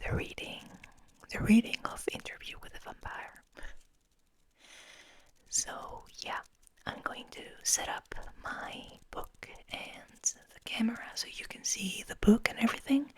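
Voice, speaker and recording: A woman hosting ASMR in a breathy whisper, speaking in a soft, soothing, unhurried manner. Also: medium pitch. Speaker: ASMR host.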